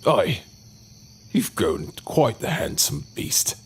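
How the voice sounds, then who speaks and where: A man's voice: on the low side, very animated, slow, slightly rough, exaggerated voice. A man acting in an audio drama as an effusive, theatrical long-lost brother, with flamboyant, wordy, old-fashioned phrasing. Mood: exuberant welcome.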